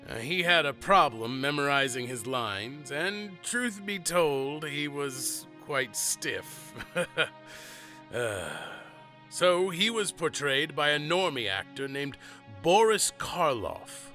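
Guy playing a monster dad in an audio drama, slow dramatic storytelling, bright clear voice, faint music behind. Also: a little high, expressive, heavily acted. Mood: wistful, amused. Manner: measured, dramatic storytelling with pauses. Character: earnest monster father telling grim family history.

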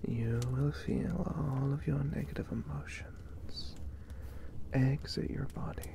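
Casting: suave vampire catboy played by a man for ASMR roleplay; unhurried, gravelly, breathy, formal, reassuring yet possessive predator. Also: medium pitch, steady tone. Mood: gentle, soothing. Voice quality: breathy, often whispered, creaky. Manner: slow, deliberate, formal, reassuring. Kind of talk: ASMR roleplay, slow soothing monologue.

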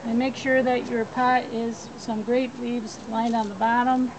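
A woman's voice: on the high side, flat delivery, a little slow. A woman giving plain step-by-step cooking instructions in a backyard. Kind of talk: cooking demonstration. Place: backyard outdoors. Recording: outdoor background noise.

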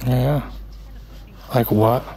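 A gruff young American man in a naturalistic audio drama. He is sardonic and protective, a troubled sort with a mid-pitched voice. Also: fairly even intonation, drawn-out words, mellow. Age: young adult.

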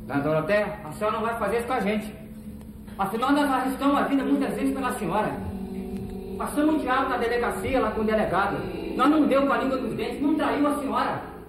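A man's voice: very high-pitched, animated, quick pace, background noise. An actor playing a loyal, uneducated country henchman pleading with his boss in a 1970s telenovela. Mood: desperate pleading. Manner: rustic uneducated speech, ungrammatical. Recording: echoey old TV studio, music behind.

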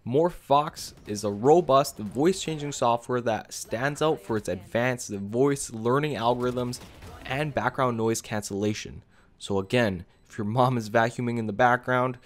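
Man narrating a casual scripted tech review. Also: mid-range voice. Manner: casual, addresses viewers directly. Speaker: video creator and presenter. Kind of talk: scripted software review voice-over.

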